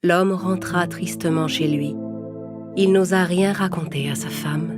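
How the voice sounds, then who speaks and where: A woman narrates a children's fairy tale as a storyteller. Her voice sits a little low for a woman, and prominent music plays behind the scripted narration. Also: fairly even intonation, measured pace.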